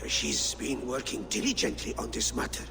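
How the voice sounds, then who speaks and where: An actor playing a courteous, worried lord of a medieval town in a video game, polite and a little hesitant. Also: male voice, medium pitch, sing-song, normal speed, gravelly, big-room sound, noisy background. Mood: courteous, concerned.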